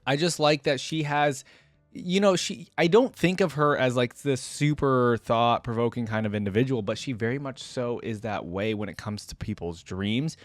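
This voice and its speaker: A man's voice: mid-range voice, normal speed, no echo. A man hosting a reaction video, chatting informally as he gives commentary. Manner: informal, conversational. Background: faint music.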